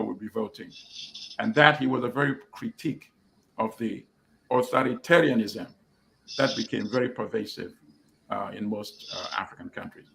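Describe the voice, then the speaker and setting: Gravelly man arguing a point. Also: mid-range voice, fairly bright tone, poor audio. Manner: reflective, argues with "you know". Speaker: panel guest. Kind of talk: panel discussion.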